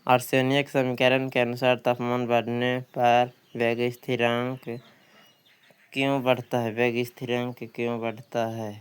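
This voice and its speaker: A man's voice: medium pitch, monotone, a little slow, smooth. A man working through practice questions as a coaching teacher, reading each one out and repeating questions and answers.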